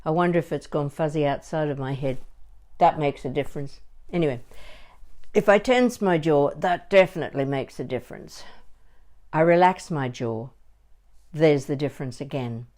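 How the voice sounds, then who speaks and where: An older woman giving a conversational demonstration as a voice coach, deliberate and emphatic, pausing between short phrases. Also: low-pitched, darkish tone.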